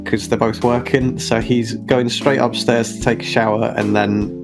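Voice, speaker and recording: A man with a mid-pitched voice plays a tabletop game. He casually narrates what his character does, in the third person. Music is clearly heard behind him. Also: little pitch movement, normal speed.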